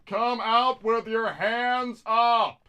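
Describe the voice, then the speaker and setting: A man's voice: very high-pitched, fairly even intonation, drawn-out words, background noise, exaggerated voice. A man playing a stern police officer who demands surrender in a livestream roleplay. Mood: commanding. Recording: muffled, buried under loud music.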